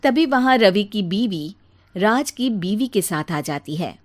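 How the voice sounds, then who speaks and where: A woman's voice: mid-range voice, expressive, unhurried. A woman narrator reading a scripted cartoon moral story.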